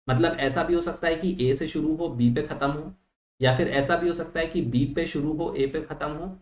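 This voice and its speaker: A young man teaching an online class in mixed with terms, patiently reassuring students and checking that they follow. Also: fairly high pitch. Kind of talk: online lecture at a digital whiteboard. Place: small room indoors. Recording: roomy echo.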